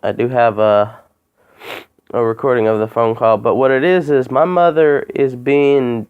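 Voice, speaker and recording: A man making a complaint by phone, talking in a halting, rambling way and repeating himself. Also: mid-range voice, fairly even intonation, smooth. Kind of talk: phone call, informal account. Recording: muffled phone call recording.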